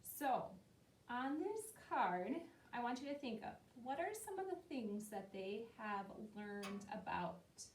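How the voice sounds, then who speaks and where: A woman teacher explaining key words to young students, slowly and patiently, and asking them questions. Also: big pitch swings. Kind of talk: classroom lesson talk to young students. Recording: roomy and distant, faint rumble.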